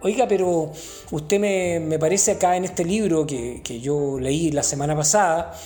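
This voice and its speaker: A woman's voice: low-pitched, a little slow, mellow, soft background music. A woman hosting a mock talk-show interview, mock-formal and full of hesitant fillers. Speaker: host.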